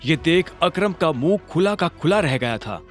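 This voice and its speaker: A male narrator tells a dramatic scripted story in a fairly high voice. His delivery swings widely in pitch, and music plays behind him. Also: lively intonation, moderate pace.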